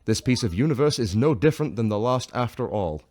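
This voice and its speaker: Man playing a pompous, expressive science professor. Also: mid-range voice, fairly quick, dry recording, lightly acted. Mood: loftily dismissive. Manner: pompous, formal, condescending. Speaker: scientist lecturer. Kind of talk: sci-fi radio drama lecture.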